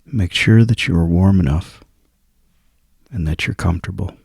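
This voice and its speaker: A man with a very low, creaky voice guides a sleep meditation. He is hushed and soothing, leaving long gaps between short phrases. The sound is close and dry, with a husky grain to his words. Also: moderate pace, dry recording.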